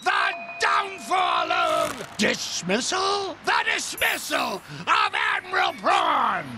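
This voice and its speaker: A man voicing a blustering, bossy ghostly pirate captain in a gravelly, theatrical shout for a cartoon video game. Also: high-pitched, wildly swooping pitch, slow pace, a crowd in the background, noisy background, full character voice. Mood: bombastic.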